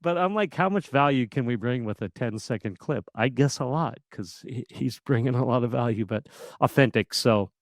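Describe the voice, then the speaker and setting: A man's voice: medium pitch, normal speed, few pauses, dry recording, clean studio sound. A man guesting on a podcast, talking casually and animatedly, laughing often, with a powerful voice that projects. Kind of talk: casual interview conversation.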